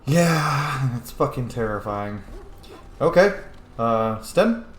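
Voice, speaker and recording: A man's voice: mid-range voice, lively intonation, slow pace, roomy sound, a little hiss or hum. A man giving casual, informal playthrough commentary while role-playing a video game, reacting and swearing freely.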